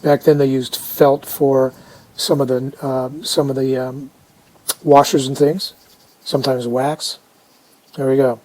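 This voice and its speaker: A man casually narrating a hands-on restoration video, unhurried and halting as he thinks aloud. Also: mid-range voice, flat delivery, darkish tone, low-quality recording. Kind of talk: casual narrated how-to commentary.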